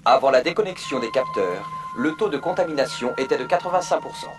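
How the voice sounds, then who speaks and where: A man's voice: mid-range voice, expressive, a little fast, over music, natural voice. A man playing a polite, emotionless virtual assistant program in a video game, speaking formally and procedurally. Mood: impassive, procedural. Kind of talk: French video game dub, formal information readout.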